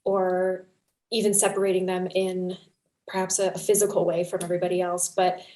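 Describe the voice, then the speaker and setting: A woman's voice: on the low side, little pitch movement, fairly quick, mellow. A woman speaking as a writer on a discussion panel, talking in an effusive, rambling, conversational way. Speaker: panelist, fiction podcast writer. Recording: roomy, echoing sound.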